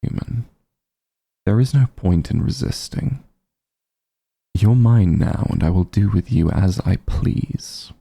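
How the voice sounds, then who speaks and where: A man's voice: fairly low pitch, lively intonation, slow pace, gravelly, pauses often, warm tone, husky, dry recording, heavily acted. A man playing an ancient, aloof vampire patriarch in an ASMR fantasy roleplay, commanding yet honorable, speaking in formal, haughty, archaic phrasing. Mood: stern, possessive.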